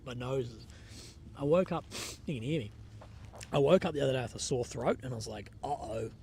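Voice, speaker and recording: A man's voice: mid-range voice, fairly quick, slight background noise. A young man with a blocked-up nose, rambling casually on a live stream and reading out comments, a bit unwell. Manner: relaxed, rambling, lots of fillers and "like". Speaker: livestreamer reporting from a conflict zone. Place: outdoors at night in a war zone.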